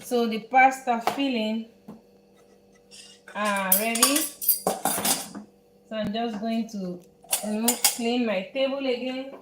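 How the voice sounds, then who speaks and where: A Dutch woman with a Dutch accent, speaking English as her second language, hosting a live cooking tutorial from her home kitchen in an unhurried, halting way that repeats words as she works. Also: fairly high pitch, echoey room, very noisy. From Netherlands. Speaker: home cook host.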